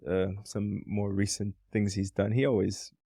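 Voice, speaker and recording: Low-voiced, musing podcast co-host. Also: male voice, little pitch movement, unhurried, creaky, dark tone, no room sound, clean studio sound. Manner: thoughtful, rambling with many "um"s. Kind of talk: casual podcast conversation.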